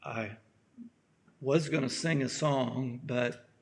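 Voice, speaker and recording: A man with a raspy voice, a pastor giving a gentle, halting sermon with many pauses, his voice worn from allergies. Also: medium pitch. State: allergies acting up. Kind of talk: slow reflective sermon.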